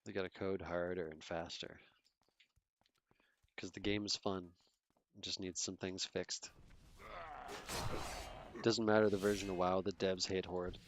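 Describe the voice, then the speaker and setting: A laid-back streamer with a Toronto Canadian accent, talking in a deep, low voice. He rambles casually between moments of play, and his sentences often drift off unfinished. Faint mouse clicks tick along behind him. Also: level tone, measured pace, pauses often, noisy background. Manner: laid-back, chatty, trails off mid-sentence. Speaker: game streamer. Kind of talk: casual chat while gaming, reading chat.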